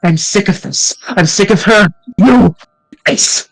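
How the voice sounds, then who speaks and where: A man's voice: sits high, slow, slightly rough, no room sound, full character voice, low-quality recording. A man voicing a fed-up, scornful parent figure in a ranting, contemptuous way. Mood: furious. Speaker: co-streamer voicing a visual novel.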